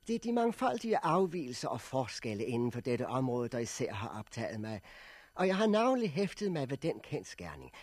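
An old, pedantic professor in a radio drama. His voice is husky and dry in sound, swinging widely in pitch as he lectures with formal, cool deliberation. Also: dry recording. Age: older. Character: elderly male sociology professor, pedantic, coolly unsentimental orator. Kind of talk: 1960s radio drama acting, public speech.

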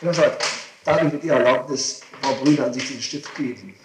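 Hurried male defence lawyer, echoing old tape. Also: medium pitch. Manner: hurried, courteous, repetitive, insistent. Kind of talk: spontaneous talk at a formal questioning. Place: hard echoing room, distant microphone. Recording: noisy echoey distant 1960s tape recording.

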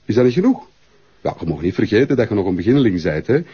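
An actor with a Flemish accent playing a smooth, flattering and pushy photographer in a naturalistic TV drama. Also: male voice, lowish voice, fast, highs cut off, heavily acted. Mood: businesslike, coaxing. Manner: smooth-talking, persuasive.

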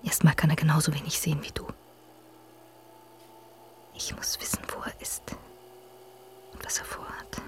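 An actress playing a bold young woman journalist in a radio thriller, often speaking in breathy, urgent tones. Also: low voice, monotone, normal speed, pauses often, darkish tone, lightly acted. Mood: frightened, urgent. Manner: breathy whispering to herself, urgent calling out. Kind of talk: German radio thriller acting.

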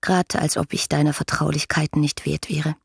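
Low-voiced, yearning romantic young woman. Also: level tone, normal speed, creaky, slightly rough, no echo, studio quality, exaggerated voice. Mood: longing, hurt. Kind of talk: radio drama reading of letters.

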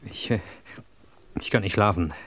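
A man's voice: low-pitched, normal speed, a bit bright. An actor playing a frightened, hesitant and very polite male prisoner in a crime radio play. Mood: pleading, fearful. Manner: hesitant, faltering, overly polite. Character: frightened, timid male prisoner, a police informer.

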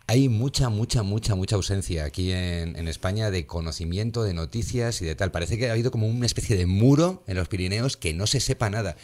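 A man with a low voice hosts a casual radio talk show. He jokes at his own expense, laughs easily and trips over his words as he moves the talk along. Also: a little mellow. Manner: self-mocking, laughing, halting. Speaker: radio host.

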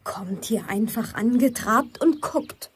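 A woman's voice: fairly high pitch, expressive, takes it slowly, husky, exaggerated voice. A woman playing a prickly, scornful young woman in a radio play, curt and sarcastic in naturalistic dialogue. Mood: indignant.

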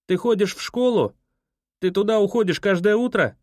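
A man's voice: high voice, normal speed, darkish tone, no echo, studio quality, pitched above the performer's own voice, natural voice. A man voicing a lonely, awkward fourteen-year-old boy who talks in an eager, pleading way.

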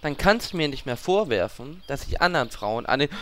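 A man's voice: medium pitch, sing-song, a little fast, a bit bright. A man playing a cheeky, crude guild adventurer and shameless flirt in a parody radio play. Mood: brash, flirty.

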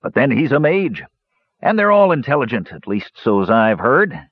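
A man's voice: mid-range voice, huge pitch swings, moderate pace, dry recording, muffled recording, voice pushed up. A man voicing a pot-bellied, bearded dwarf adventurer in an audiobook, cunning and cajoling with mock solemnity. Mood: sly. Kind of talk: audiobook character voice.

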